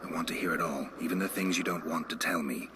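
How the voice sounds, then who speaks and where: A man playing a brooding, world-weary monster hunter in a video game, with a gravelly voice and grave, restrained delivery. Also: sits low, fairly even intonation, fast talker, very noisy, close to an everyday voice. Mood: intimate. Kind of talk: video game cutscene acting. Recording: loud music over game dialogue.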